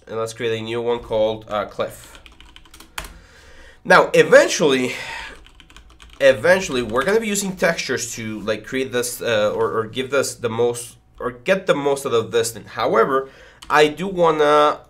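A man's voice: medium pitch, a bit bright. Congested man rambling through a tutorial. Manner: rambling, frequent fillers and stammering. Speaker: 3D modelling instructor. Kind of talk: casual screen-recorded tutorial. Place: small home studio room with foam panels. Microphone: headset with boom mic.

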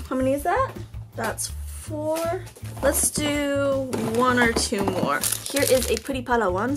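A fairly high-voiced woman talks sweetly and a bit sleepily as she opens blind boxes at a table indoors. Her voice is slightly hoarse, and soft music plays faintly behind her. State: hoarse from just waking up. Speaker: toy unboxing channel host. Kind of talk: casual unboxing chat. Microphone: off-camera, hands-only tabletop shot.

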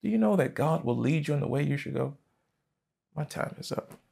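A man in his thirties, a pastor preaching a sermon from a church stage, fervent and emphatic, jumping from loud bursts to quiet asides. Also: fairly high pitch, expressive, a little fast, gravelly, husky. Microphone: headset mic.

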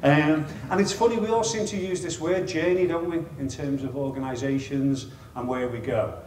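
A man's voice: a little high, steady tone, moderate pace, a little mellow, echoey room, far from the mic, a little hiss or hum. Chatty middle-aged man with a Liverpool accent. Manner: chatty, self-deprecating, wry asides. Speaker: chief executive giving a conference talk. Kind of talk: informal presentation to an audience. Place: meeting room with an audience. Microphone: lapel mic.

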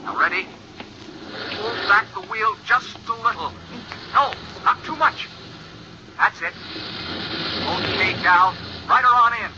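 An urgent police inspector with a high voice, giving clipped commands in a 1950s radio drama. The recording is muffled and full of noise. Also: male voice, expressive, slow pace, long pauses.

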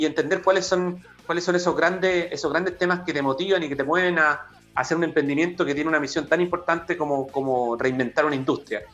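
A man's voice: on the high side, fairly bright tone. A young man hosting an interview, asking friendly, loose questions over a video call. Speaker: interview host. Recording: dull lo-fi call audio, faint music.

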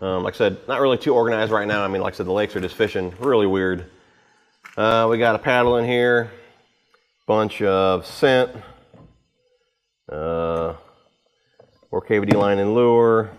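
Low-voiced man, casual and rambling. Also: normal speed, long pauses. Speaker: vlogger giving a boat tour. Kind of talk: casual unscripted walkthrough to camera. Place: home garage with the door open.

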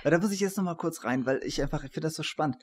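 A man in his forties with a slightly high voice, hosting an interview. He speaks conversationally and eagerly, working his way round to his questions. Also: racing, husky. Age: 40s. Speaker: interview host, professor. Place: attic room, indoors.

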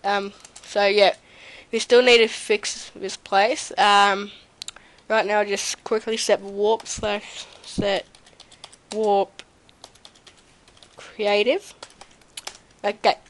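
A young woman with a slight cold, slowly touring her game server with many pauses and fillers. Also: mid-range voice, flat delivery, slight background noise. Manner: hesitant, many ums, slow and rambling. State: slight cold, runny nose and cough. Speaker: server owner giving a tour. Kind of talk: casual gameplay commentary. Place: home room at a computer. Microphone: desk mic near the keyboard, typing audible.

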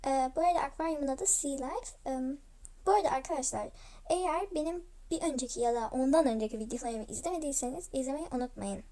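High-pitched young-sounding female storyteller. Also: quick pace, mellow. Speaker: YouTube storyteller voicing a dollhouse game roleplay. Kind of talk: casual roleplay narration.

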